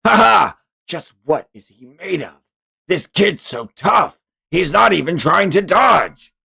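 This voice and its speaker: A man's voice: sits high, lively intonation, slow pace, gravelly, sharp tone, slightly rough, voice pushed up, full character voice. A man voicing a cocky, bloodthirsty fantasy fighter in a taunting, gleeful, theatrical comic read-aloud.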